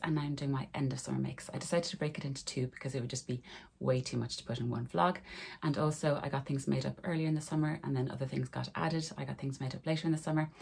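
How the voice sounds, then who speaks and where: A woman, hoarse from a cold, chatting casually to camera about her sewing in a small room. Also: rumbling low, darkish tone, a little hiss or hum. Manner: friendly, rambling, talks to camera while showing garments. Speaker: sewing vlogger. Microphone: camera in front of her at desk.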